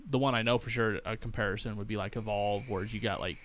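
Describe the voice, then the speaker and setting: A man's voice: on the low side, steady tone, fast talker, a bit bright, a little hiss or hum. Laid-back young man telling stories. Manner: laid-back storyteller, swears freely. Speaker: podcast co-host. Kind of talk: casual chat.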